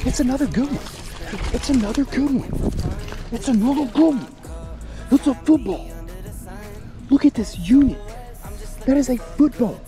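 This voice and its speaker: A young man vlogging while fishing outdoors by the water, excitable and quick to laugh. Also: very high voice, over music. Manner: excitable, shouting and laughing at catches.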